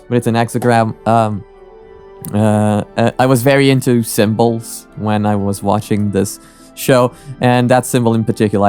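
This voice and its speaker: A man's voice: medium pitch, a little mellow, clear, resonant tone. Casual man giving rambling commentary. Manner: halting, rambling and opinionated. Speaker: reaction video host.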